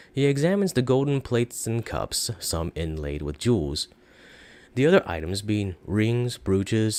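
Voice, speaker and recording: A man reading a story aloud as narrator, in an expressive storybook style. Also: deep voice.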